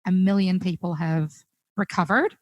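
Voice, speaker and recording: A young woman with a New Zealand accent, chatting casually as a guest musician, full of fillers and quick laughs. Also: lowish voice, fairly even intonation, lo-fi sound. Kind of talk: casual chat on a call. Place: home, recorded remotely.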